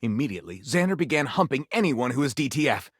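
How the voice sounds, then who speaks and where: A melodramatic male storyteller for a video game, spins pulpy adventure tales as grand theatre. His pitch swings widely and he stretches out suspenseful pauses with overwrought relish. The recording is close and dry. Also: very animated, breathy edge, dry recording. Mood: dramatic, suspenseful. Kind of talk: scripted dramatic narration for a video game.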